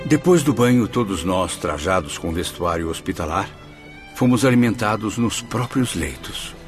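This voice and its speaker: A man acting a tormented, world-weary male soul with dry wit in a radio drama. His Brazilian-accented voice sits fairly low and moves dramatically up and down. Music plays clearly behind him. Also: animated, normal speed, lightly acted. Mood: reflective recounting. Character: Camilo, anguished, exhausted male spirit with wry humor. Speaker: protagonist and narrator.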